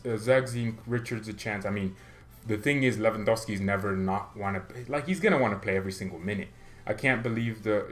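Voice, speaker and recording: A young man hosting a fan channel, talking casually and opinionatedly, rambling with restarts and getting heated. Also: medium pitch. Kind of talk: casual solo commentary.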